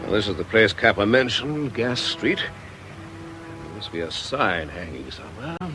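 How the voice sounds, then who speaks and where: An actor playing an earnest, reasonable British parish priest in a radio drama, measured and admonishing. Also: male voice, lowish voice, big pitch swings, background noise. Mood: wry, hesitant.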